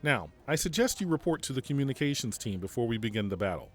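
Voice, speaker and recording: A man playing a stern, principled army general in an audio drama, speaking formally and deliberately. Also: medium pitch, very animated, soft background music, natural voice. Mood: dry, commanding. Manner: formal, measured, deliberate speech. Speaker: military general giving a radio interview.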